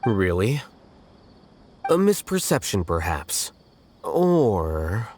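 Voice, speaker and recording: A man voicing an aloof, blunt young scholar in a video game, with cool, precise, deadpan delivery. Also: on the low side, extremely slow, slight background noise, heavily acted. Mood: curt, puzzled.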